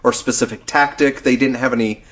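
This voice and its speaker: A man's voice: medium pitch, fairly even intonation, a little fast, a bit bright. A man hosting a podcast in casual chat, explaining things in a talkative way with frequent chuckles. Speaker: podcast host. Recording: dull lo-fi podcast recording.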